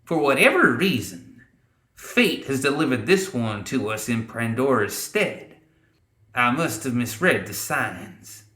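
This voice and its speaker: Man putting on a slow, swooping old witch woman. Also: medium pitch, very animated, pushed and bright, roomy sound. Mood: grave, calculating. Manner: slow, drawn-out, portentous delivery. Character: old swamp witch woman, scheming, stern, uncanny.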